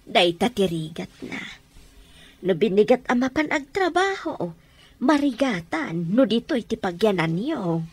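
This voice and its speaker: A woman's voice: medium pitch, sing-song, unhurried, slightly rough. A woman playing a fond, doting mother in a radio drama, speaking in an affectionate, entreating way. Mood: fond, concerned.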